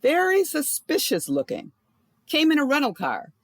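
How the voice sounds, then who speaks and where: Nosy female neighbor, combative and scolding, highish animated voice in a dry close recording. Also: moderate pace, no room sound. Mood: smug, scolding. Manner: blunt, scolding. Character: nosy, cranky female condo busybody, smug and combative. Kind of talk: audio comedy drama acting.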